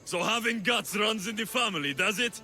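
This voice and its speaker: An actor playing an arrogant, threatening young warrior of a secret cult in a video game, with a slow, taunting delivery. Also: male voice, sits high, lively intonation, bright, forceful tone, soft background music, full character voice. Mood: cold, menacing.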